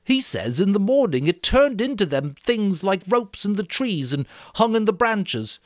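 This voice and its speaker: Earnest storybook schoolboy, acted by a man: urgent, insistent, pitched well up, swooping intonation. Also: big pitch swings, a little slow, no echo, studio quality. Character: Piggy, earnest, insistent schoolboy speaking up for others. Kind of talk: dramatic audiobook character voice.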